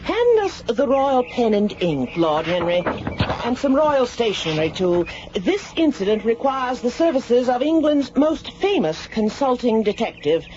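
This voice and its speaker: A woman playing a haughty queen in a radio drama, regal, deliberate and pompous. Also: fairly high pitch, big pitch swings, darkish tone. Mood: imperious. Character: haughty, imperious British queen. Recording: muffled, noisy radio recording.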